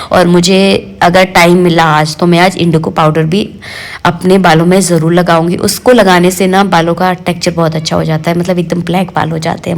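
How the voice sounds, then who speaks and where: A middle-aged woman with a hoarse voice, chatting homely and casually in a home cooking and daily-life vlog from her kitchen. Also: fairly low pitch. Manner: homely, chatty, fond of the filler "jo hai na". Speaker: home vlogger.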